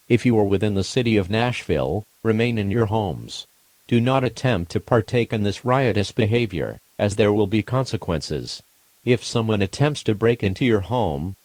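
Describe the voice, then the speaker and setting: A man voicing a formal emergency alert announcer, reading scripted warnings in a measured, impersonal, official manner. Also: on the low side, darkish tone, dry recording, close to an everyday voice.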